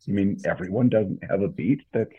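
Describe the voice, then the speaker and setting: A man chatting casually as a radio presenter, with halting, hesitant phrasing, heard over a tinny phone-like line. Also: deep voice, mellow. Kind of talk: casual chat.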